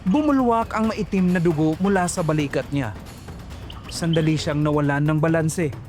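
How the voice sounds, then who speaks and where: A man's voice: a little high, moderate pace, darkish tone, faint music behind. A man narrating a first-person horror story in a dramatic, suspenseful manner.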